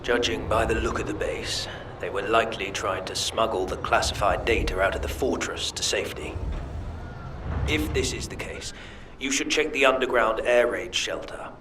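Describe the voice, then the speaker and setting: An actor playing an intense, scheming alien scholar in a video game, speaking in a formal, deliberate, theatrical way. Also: male voice, on the low side, background noise, close to an everyday voice. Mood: calculating.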